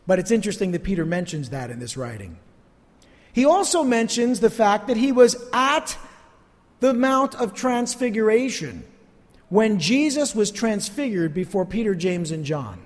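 A man's voice: high voice, sing-song, normal speed. A male pastor teaching a sermon in an earnest, emphatic preacher's manner. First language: not Spanish. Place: church sanctuary. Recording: church hall reverb.

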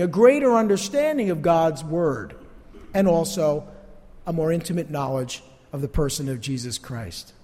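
A man's voice: high voice, warm tone. A male pastor teaching a sermon in an earnest, emphatic preacher's manner. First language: not Spanish. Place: church sanctuary. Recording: church hall reverb.

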